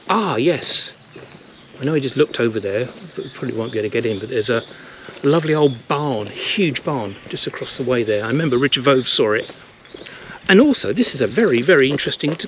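A man's voice: medium pitch, background noise. A man in his fifties chatting enthusiastically while filming himself on a village walk, camera mic at arm's length. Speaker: vlogger. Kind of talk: casual walking vlog. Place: village church and lanes, outdoors. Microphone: handheld camera mic at arm's length.

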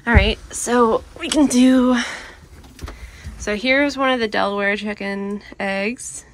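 Slow-paced woman vlogging casually outdoors. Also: a little high, fairly even intonation. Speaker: homesteading vlogger. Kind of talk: casual explanatory vlog talk. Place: outdoors in a covered chicken run and coop. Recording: outdoor ambient noise.

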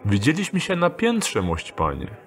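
An actor playing a sly thief who poses as a courteous messenger in an audio drama, speaking in formal, archaic, polite phrases. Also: male voice, on the high side, huge pitch swings, unhurried. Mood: courteous, feigning.

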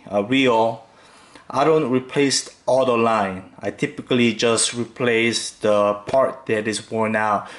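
A man hosting a fishing tutorial, calmly talking through each step with occasional fillers as he ties knots. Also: medium pitch. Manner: slow, relaxed instructional talk with fillers. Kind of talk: step-by-step demonstration voice-over.

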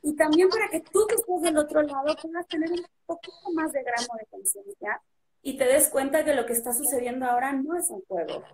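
A woman in her forties speaking earnestly and with measured emphasis as a guest on a video call. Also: sits high, darkish tone, rough recording. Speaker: guest on a live stream. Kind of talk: earnest heartfelt monologue. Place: room with a blue backdrop. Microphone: webcam video call.

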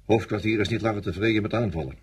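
An actor playing a weary French divisional general in a radio drama, gruff, sardonic and grumbling, yet deferential to his superior. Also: on the low side, fast, slight background noise, lightly acted. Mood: bitter.

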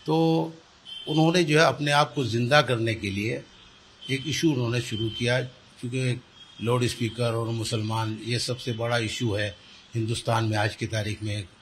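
An older man answers in a news interview. His pitch is mid-range and he explains things with emphatic repetition. Some room noise sits behind his voice. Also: steady tone, moderate pace, a bit bright. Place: small indoor office. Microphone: handheld reporter's microphone held close. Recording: clear background room noise.

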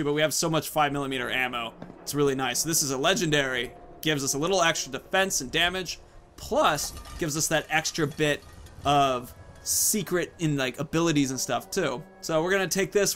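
Male gaming host, thirties, slightly high voice, casual play-by-play reasoning over faint music. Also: a little fast, a bit bright. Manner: thinking aloud, explaining choices. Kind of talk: casual let's play commentary. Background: game music.

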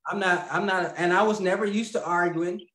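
Male guest, 30s, rambling storyteller, lots of fillers, slightly high voice, echoey video-call audio. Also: fairly even intonation, a little fast, bright, forceful tone. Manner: rambling, laughs mid-sentence, many fillers and restarts. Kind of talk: casual storytelling. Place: home room.

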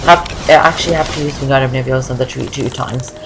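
Very low-voiced young woman gaming. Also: steady tone, quick pace, background noise. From Norway. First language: Norwegian. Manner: chatty, rambling, repeats phrases. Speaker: gaming video host. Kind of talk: casual game walkthrough commentary. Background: game music.

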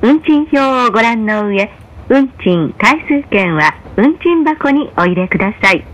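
Female announcer, slightly high voice, formal and measured, for scripted in-car train announcements. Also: expressive, dull audio.

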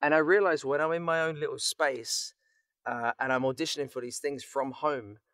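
A male guest chats casually in a bright, sharp voice. He rambles and breaks into his own sentences. The sound is very dry, from a small home booth. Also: medium pitch, steady tone, moderate pace, no echo. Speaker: voice actor, podcast guest. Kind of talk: casual chat. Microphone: microphone in a home booth.

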